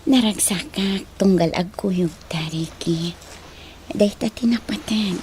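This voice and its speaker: A woman acting a gentle, shy young sweetheart in an Ilocano radio drama, soft and breathy. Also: medium pitch, unhurried, a little hiss or hum, lightly acted. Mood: shy, tender.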